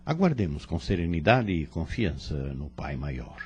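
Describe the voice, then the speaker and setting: Casting: male actor for fatherly Brazilian spirit guide, low-voiced, solemn, with sweeping intonation, audio drama. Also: very animated, dull audio, over loud music. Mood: consoling, solemn. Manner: solemn, measured, formal exhortation. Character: serene, fatherly male spirit mentor, kindly and preaching. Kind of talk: religious audio drama acting.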